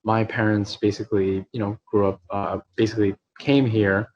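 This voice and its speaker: A man's voice: fairly low pitch, flat delivery, normal speed, a little mellow, dull audio. A man who runs a nonprofit, speaking from his apartment in a hesitant way with many fillers and restarts. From New York. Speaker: nonprofit CEO. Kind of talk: video call interview.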